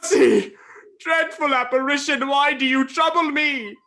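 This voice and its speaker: A man's voice: very high voice, a little slow, pushed and bright, full character voice. A man playing a stubborn, easily frightened old miser in a radio play, with a theatrical, jittery manner. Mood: frightened, pleading.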